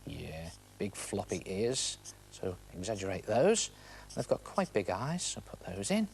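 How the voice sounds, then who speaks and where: A man's voice: fairly low pitch, huge pitch swings, measured pace, creaky, a little mellow, slight background noise. A man with a British accent presents a step-by-step drawing demonstration for children, chatting to the viewer and asking questions with playful emphasis. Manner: chatty, asks the viewer questions and answers them. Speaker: presenter of a children's art TV show.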